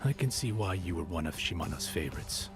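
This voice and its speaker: An actor playing a composed male villain in a game cutscene, speaking in a smooth, measured, courteous way. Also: low-pitched, animated. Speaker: game character. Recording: game audio under music.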